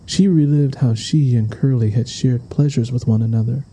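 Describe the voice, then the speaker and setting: A man's voice: medium pitch, normal speed, warm tone, slight background noise, rough recording. A man reading a scripted, dramatic story as its narrator. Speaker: audiobook narrator.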